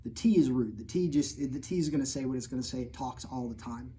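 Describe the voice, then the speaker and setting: Playful man reading to kids, mellow voice. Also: medium pitch, quick pace, husky. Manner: playful, jokey, mock-outraged, talking straight to children. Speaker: children's author reading his own book. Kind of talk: storytime read-aloud with playful asides to kids.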